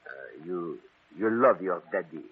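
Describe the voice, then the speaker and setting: A courteous, shrewd detective speaks with a French accent in formal, hesitant phrases, his pitch swinging expressively. The voice is husky, heard through a narrow, muffled old radio broadcast. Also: lively intonation, a little slow, exaggerated voice. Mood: gentle, kindly. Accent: French-speaking European. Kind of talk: old-time radio drama acting.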